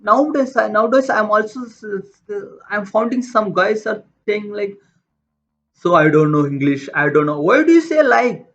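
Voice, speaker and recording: A man with a Tamil accent, a native Tamil speaker from India, talking about his own life in a halting way, with many restarts and repeated words. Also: high voice, expressive, fairly bright tone. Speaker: motivational vlogger. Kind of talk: unscripted personal talk. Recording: dull lo-fi sound.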